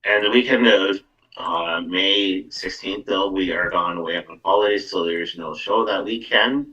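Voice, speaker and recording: A man's voice: deep voice, a little slow, pushed and bright. A man with a Canadian accent hosting a casual live podcast as a psychic medium, chatting and making announcements. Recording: muffled, distant microphone.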